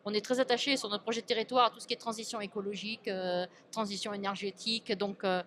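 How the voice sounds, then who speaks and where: A woman speaking as an elected local official in an informal interview, halting and often repeating and restarting her words. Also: mid-range voice, fairly even intonation, a bit bright, slight background noise. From France.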